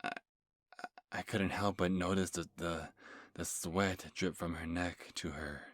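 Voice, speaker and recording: A man playing a nervous, creepy lovestruck stalker telling a first-person horror story in an ASMR manner, halting and stammering as he corrects himself. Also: low voice, fairly even intonation, a bit bright, no room sound, clean studio sound. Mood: nervous, obsessive. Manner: halting, stammering, breathy sighs and self-corrections. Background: music.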